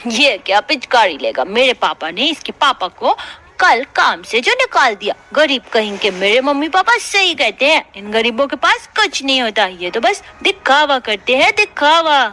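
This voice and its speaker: महिला द्वारा घमंडी, चिढ़ाता अमीर छोटा लड़का. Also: female voice, sits high, very animated, normal speed, pushed and bright, full character voice. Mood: mocking. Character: snobbish, mocking rich little boy. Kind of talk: Hindi cartoon story voice acting.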